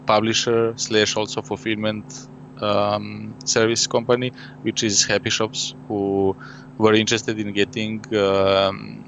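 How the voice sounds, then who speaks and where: Low-voiced man explaining his board game. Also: little pitch movement, measured pace, gravelly, a bit bright. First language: French. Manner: explains at length, with many fillers and "you know". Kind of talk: casual interview conversation. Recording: dull lo-fi audio with faint noise.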